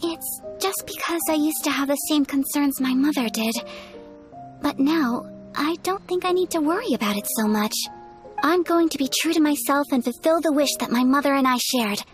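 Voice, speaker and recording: A young woman voicing a gentle, composed and earnest noblewoman with a soft, polite, measured manner in a video game. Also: sits high, faint music behind, close to an everyday voice.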